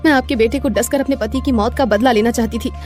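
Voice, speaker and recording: A woman's voice: fairly high pitch, fairly even intonation, fast talker, darkish tone, faint music behind, lightly acted. A woman voicing a young shape-shifting serpent wife who speaks respectfully and pleadingly in a moral story. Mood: sorrowful.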